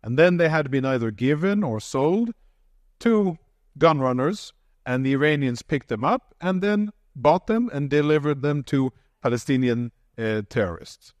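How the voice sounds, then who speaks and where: A man answering an audience question at length in a measured, halting way, restarting and repeating words. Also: a little high, animated, no echo. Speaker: panel speaker on security.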